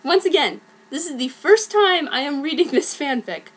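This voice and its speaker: A young adult woman giving an amateur dramatic reading of badly written fanfiction, sounding out its mistakes deliberately. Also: high voice, lively intonation.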